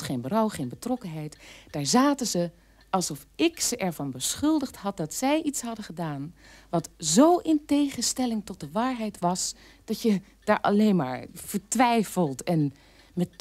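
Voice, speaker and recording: Bitter daughter, intensely animated, lowish voice. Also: female voice. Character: bitter adult daughter of a Nazi camp guard, recounting childhood. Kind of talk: dramatic radio play monologue.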